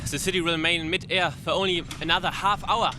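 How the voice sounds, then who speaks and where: Man acting an earnest high-voiced prince. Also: steady tone, quick pace, natural voice. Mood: urgent. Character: earnest prince allied with the heroes. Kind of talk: 1930s radio serial acting. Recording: clear rumbling background noise.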